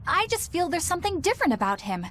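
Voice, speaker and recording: A polite young woman in an anime game. She speaks in a high, lively voice with prim, proper phrasing and an earnest, expressive manner. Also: fast talker, full character voice. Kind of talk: English anime game dub acting.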